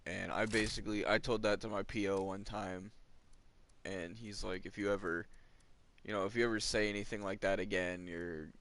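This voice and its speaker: Lower-voiced young man ranting. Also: steady tone, normal speed, fairly bright tone. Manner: ranting, complaining, chuckles between remarks. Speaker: gamer. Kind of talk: casual rambling chat over gameplay.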